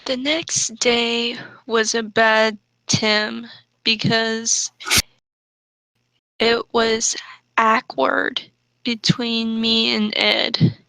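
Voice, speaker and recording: Casting: female comic reader, bright high voice, very slow deliberate delivery, dry close sound. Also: little pitch movement, vocal fry, dry recording, low-quality recording. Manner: deliberate, stumbles over misspellings read as written. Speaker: host and reader. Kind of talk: comic read-aloud of bad fan fiction with banter.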